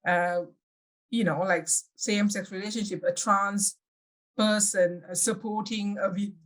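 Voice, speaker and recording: A woman's voice: mid-range voice, fairly even intonation. Sri woman answering thoughtfully. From Sri Lanka. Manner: thoughtful, pauses often to search for words. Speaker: guest. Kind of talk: reflective personal interview answers.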